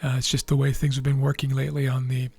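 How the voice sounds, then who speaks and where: A man with a raspy, tired voice, hosting a trading video and explaining live charts in a measured way with frequent fillers and restarts. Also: mid-range voice, completely flat delivery, a little mellow. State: losing his voice.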